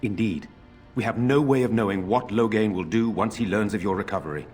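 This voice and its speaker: A man's voice: fairly low pitch, a little fast, a little mellow, faint music behind, lightly acted. An actor playing an earnest, concerned nobleman in a fantasy video game, speaking formally. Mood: urgent, troubled. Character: earnest, concerned nobleman, younger brother of a lord. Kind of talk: dramatic video game voice acting.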